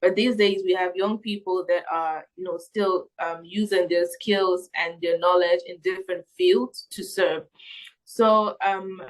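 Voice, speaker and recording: A young woman speaking as a missionary being interviewed, giving reflective answers in an earnest, halting way as she thinks aloud. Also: on the low side, low-quality recording. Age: young adult.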